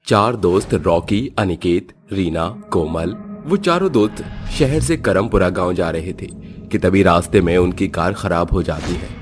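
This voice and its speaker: A man's voice: deep voice, lively intonation, measured pace. A man reading scripted horror story narration as the narrator. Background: music.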